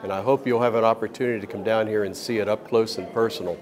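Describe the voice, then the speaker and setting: A man's voice: on the low side, fairly even intonation, quick pace, a crowd in the background. An older man interviewing a guest in a casual, folksy and complimentary way.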